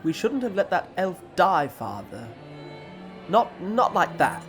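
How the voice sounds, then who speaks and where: Audio drama role: male actor as sullen young prince, higher male voice, very animated pitch. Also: a little slow, faint music behind. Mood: protesting. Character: troubled, sullen young son of a king.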